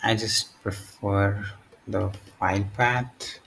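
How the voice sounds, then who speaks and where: Slow-paced, low-voiced man giving a tutorial. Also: steady tone, gravelly, a bit bright, slight background noise, low-quality recording. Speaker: tutorial presenter. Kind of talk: step-by-step software tutorial narration.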